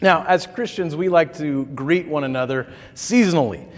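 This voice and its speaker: Hoarse-throated pastor preaching in a reverberant hall. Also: male voice, on the high side, moderate pace. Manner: conversational, folksy storytelling with filler words. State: recovering throat, slightly hoarse. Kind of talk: sermon.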